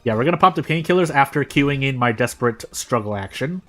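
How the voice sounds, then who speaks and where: An American man streaming a game, thinking aloud in casual commentary with frequent restarts and sudden jumps in pitch. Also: animated, fast talker, fairly bright tone. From United States. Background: faint game music.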